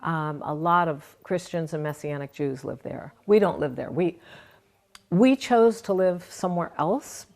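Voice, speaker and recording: A woman's voice: fairly low pitch, a little slow, darkish tone. A woman guest giving an earnest, conversational account of her faith in an interview. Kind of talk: interview, personal testimony.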